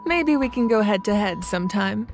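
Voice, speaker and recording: A calm, thoughtful collector from a video game, played by a woman, who recounts old legends in a measured, reflective tone. Her voice sits in an ordinary middle range. Game music plays behind her. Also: medium pitch, close to an everyday voice. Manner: measured, reflective storytelling with soft laughs. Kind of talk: video game cutscene dialogue.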